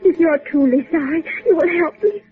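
A woman's voice: sits high, normal speed, close to an everyday voice. An actress playing a frightened young Havana woman, pleading formally in a 1950s radio drama. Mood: anxious urgency. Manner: formal, uncontracted, pleading speech. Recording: tinny band-limited 1950s radio recording with music.

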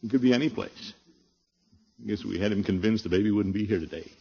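An actor playing a flustered, blustery middle-aged uncle in a 1950s radio comedy. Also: male voice, lowish voice, a little fast, vocal fry, a little mellow, slightly rough. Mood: anxious, subdued. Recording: dull, muffled old radio recording.

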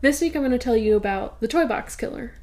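A young woman podcast co-host in a home garage, telling a true crime story in a relaxed, chatty way. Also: a little high, quick pace. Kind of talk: casual chat and true crime narration.

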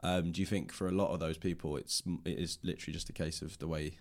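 A man's voice: low-pitched, flat delivery, fast. A man hosting a conversational interview, speaking in a casual, reflective and discursive way. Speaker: podcast host, interviewer.

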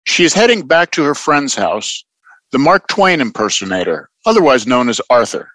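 Lively male audio play announcer. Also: mid-range voice, moderate pace, dry recording, rough recording. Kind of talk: scripted audio play narration.

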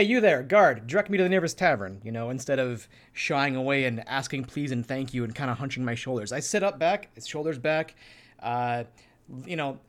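Man, co-host, conversational instruction, middling pitch, hesitant, thinking aloud. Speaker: co-host giving tabletop role-play voice tips.

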